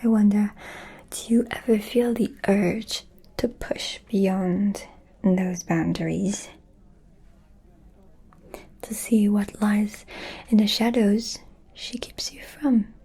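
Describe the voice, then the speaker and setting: A woman playing a scheming, haughty vampire noblewoman in an ASMR roleplay, speaking in a breathy whisper with a deliberate, insinuating manner. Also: medium pitch, warm tone, full character voice. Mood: sly, insinuating.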